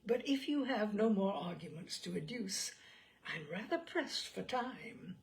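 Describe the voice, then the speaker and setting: A woman voicing a suave, courteous and menacing devil in gentleman's form for a storybook reading. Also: medium pitch, animated, measured pace, gravelly, a little hiss or hum. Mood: smug, courteous. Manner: courteous, careless, formal. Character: suave, polite devilish stranger, dark, smug and menacing.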